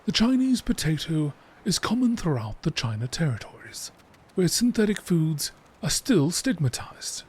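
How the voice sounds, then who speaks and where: A man reading scripted narration in a measured, deliberate nature documentary style. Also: on the high side, a little mellow. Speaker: narrator reading game lore. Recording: clearly noisy recording.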